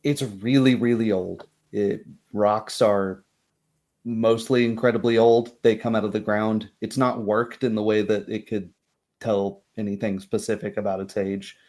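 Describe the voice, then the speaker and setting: A man narrating a tabletop roleplay as game master, in a halting, descriptive way. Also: lowish voice, little pitch movement, a little mellow.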